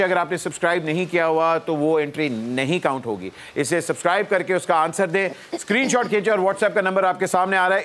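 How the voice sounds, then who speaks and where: A man's voice: sits high, a bit bright. A man hosting a comedy talk show in a TV studio, teasing guests and announcing a viewer contest. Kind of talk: lively studio chat.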